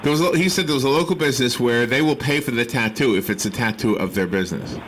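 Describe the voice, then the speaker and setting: A man's voice: medium pitch, fast talker, fairly bright tone. An American man hosting a casual radio chat, questioning and thinking aloud as he talks. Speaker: radio host. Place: radio studio. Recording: faint background noise.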